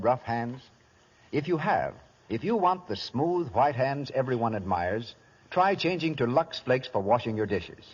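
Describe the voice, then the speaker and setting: A man's voice: mid-range voice, breathy edge. An American man announcing in vintage radio style, delivering scripted sponsor copy. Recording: muffled, echoing vintage radio broadcast.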